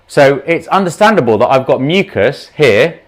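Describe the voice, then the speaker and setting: A man with a slight sore throat and a sniffle, a doctor talking straight to his viewers on a livestream. His voice sits at an ordinary middle pitch for a man. He speaks off the cuff, outspoken and impassioned, often drifting into asides. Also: lively intonation, moderate pace, slight background noise. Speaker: doctor giving a livestream talk. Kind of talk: unscripted livestream talk to viewers.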